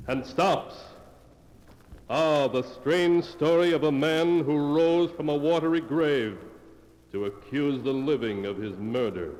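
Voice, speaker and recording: An actor playing a solemn, portentous host narrating 1940s radio tales of terror in a theatrical, deliberate manner. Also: male voice, medium pitch, darkish tone, close to an everyday voice. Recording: cavernous, noisy old radio recording.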